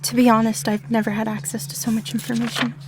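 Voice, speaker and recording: An earnest, socially awkward young-sounding character, played by a woman, talks hesitantly in an audio drama. Her voice is fairly high and flat in pitch, with faint noise behind it. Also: quick pace, natural voice. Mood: awkward, apologetic.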